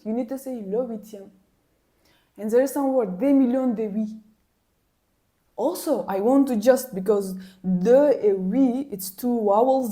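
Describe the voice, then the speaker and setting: Woman w vlogging, slow and mellow, repeats herself a lot when frustrated. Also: fairly high pitch. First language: Kyrgyz. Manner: animated and exasperated, repeats words for emphasis. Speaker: language vlogger. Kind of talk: casual personal storytelling to camera.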